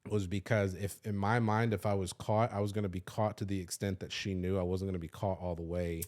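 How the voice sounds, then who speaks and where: Thirties guy, kinda low voice, rambling honest story full of likes and cussing. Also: flat delivery, a little fast, a little mellow. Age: 30s. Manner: loose and confessional, swears freely, many "like" fillers. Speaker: podcast host. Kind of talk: candid personal storytelling.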